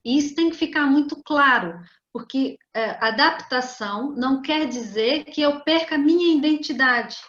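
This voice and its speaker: A middle-aged woman speaks as a psychologist in a measured, explanatory way. She often ends her points with a questioning tag. Her voice sits high and the recording sounds dull and roomy. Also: fairly bright tone. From Brazil. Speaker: psychologist guest. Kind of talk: calm explanatory interview talk.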